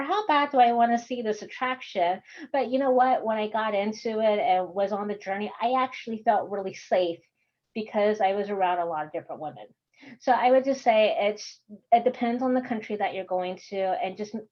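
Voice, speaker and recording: A woman with a Texan accent leading an online workshop in a chatty, friendly way, laughing often. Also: mid-range voice. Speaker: course instructor. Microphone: video call. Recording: dull video call audio.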